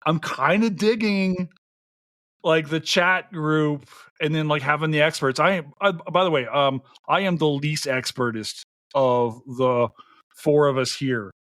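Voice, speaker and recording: A man's voice: fairly high pitch, measured pace, a bit bright, dry recording, studio quality. A man from Florida hosting a casual podcast livestream, joking and self-deprecating as he chats with guests. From Florida, USA. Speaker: podcast host.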